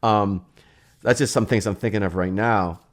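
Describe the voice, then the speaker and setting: Low-voiced male, loose and rambling, thinking out loud through a software walkthrough. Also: steady tone, moderate pace. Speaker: plugin reviewer and host. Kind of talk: casual software demo commentary.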